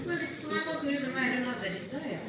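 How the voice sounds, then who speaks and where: A woman's voice: high-pitched, expressive, quick pace, crowd noise. An actress in a live stage play playing an exhausted, defensive girlfriend who protests emphatically and snaps under pressure. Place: live theatre stage, large indoor room. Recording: very echoey hall, distant mic, loud audience.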